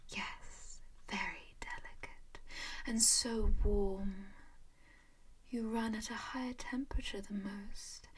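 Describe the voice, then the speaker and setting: A composed vampire noblewoman, voiced by a woman, who speaks only in a slow, airy whisper. She coaxes with pet names like darling and dear, gentle but quietly possessive. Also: medium pitch, steady tone, slightly rough. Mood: soothing whisper. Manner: soft whisper throughout. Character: composed, doting female vampire noble who owns a servant. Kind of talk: whispered ASMR roleplay.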